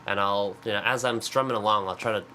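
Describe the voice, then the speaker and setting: A man's voice: lowish voice, steady tone, fast, sharp tone, slight background noise, poor audio. Congested man rambling over guitar. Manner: rambling, self-deprecating, lots of fillers. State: congested from a cold. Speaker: singer-songwriter. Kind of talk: casual vlog talk. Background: acoustic guitar.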